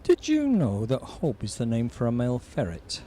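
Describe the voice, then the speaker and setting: A British actor playing an earnest, slightly odd office colleague in a comedy audio drama, eager and literal in manner. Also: male voice, mid-range voice, wildly swooping pitch, moderate pace, warm tone, a little hiss or hum.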